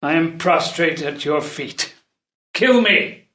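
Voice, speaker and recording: Slow-spoken, highish-voiced loyal royal counselor, acted by a man. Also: fairly bright tone, slight background noise. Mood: pleading, defiant. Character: loyal, humble old royal servant and counselor. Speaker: actor. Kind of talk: unrehearsed table read of classical verse drama. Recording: echoey room.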